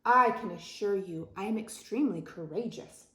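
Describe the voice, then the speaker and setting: A woman's voice: medium pitch, moderate pace, fairly bright tone, echoey room, close to an everyday voice. A woman voicing a prim, over-proper male rascal with formal, precise wording, in a storybook reading. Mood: self-assured.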